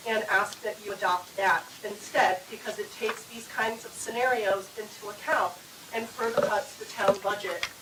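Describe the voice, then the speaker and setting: A woman giving a firm, formal public comment at a town budget meeting, a former finance board chair arguing her case. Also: on the high side. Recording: echoey room, distant mic, noisy.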